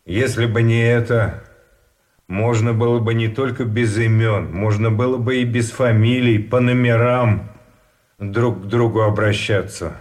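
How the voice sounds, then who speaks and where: An actor in a Russian radio drama playing a grave, methodical captive officer who explains things deliberately. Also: male voice, fairly low pitch, steady tone, a little mellow, lightly acted. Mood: grave, explaining.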